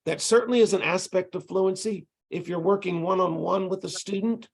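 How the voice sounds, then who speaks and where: A middle-aged man with a Texas accent, giving a relaxed, conversational lecture over a video call with frequent ums and restarts. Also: high voice, normal speed, vocal fry. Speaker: ESL instructor presenting to tutors.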